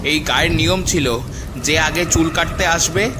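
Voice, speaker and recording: A man's voice: on the high side, moderate pace, noisy background, lightly acted. A man playing a frightened villager in a horror cartoon, anxious and beseeching before a ghost. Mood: pleading.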